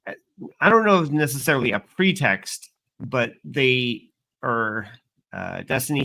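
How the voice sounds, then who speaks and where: A man chatting on a livestream panel in a casual way, with halting phrasing as he works out his wording. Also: medium pitch, vocal fry, sharp tone, rough recording.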